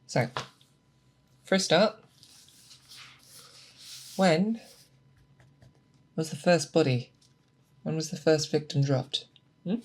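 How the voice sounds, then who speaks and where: A performer in an ASMR roleplay playing a patient, methodical monster hunter who mentors an apprentice, speaking deliberately and coaxing with questions. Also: medium pitch, expressive, a little hiss or hum. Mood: calm, focused. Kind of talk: ASMR roleplay, scripted character monologue.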